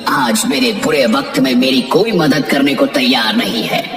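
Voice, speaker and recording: A woman voicing a sad, pleading magical well for a cartoon moral story, slow and low, with music behind. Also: roomy sound, in a large hall. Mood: hurt, reproachful. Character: magical talking village well, sorrowful, pleading, male. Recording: music under the voice.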